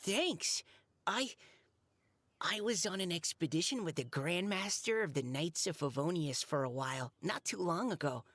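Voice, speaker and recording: A woman's voice: fairly low pitch, expressive, moderate pace, a bit bright. A woman voicing a timid, modest, socially awkward young boy scout in a video game, speaking hesitantly with stammers. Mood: bashful. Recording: game music behind.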